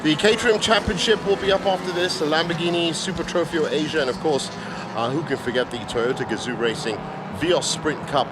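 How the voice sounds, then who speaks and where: A man with a slightly hoarse voice giving chatty, enthusiastic live motorsport commentary. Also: on the high side, brisk. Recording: loud engine and outdoor circuit noise. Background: cars and trackside ambience.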